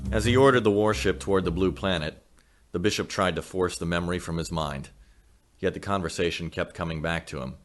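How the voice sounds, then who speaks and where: A man's voice: low voice, a little fast, faint music behind. A man reading a science fiction story as dramatic scripted narration. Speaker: story reader.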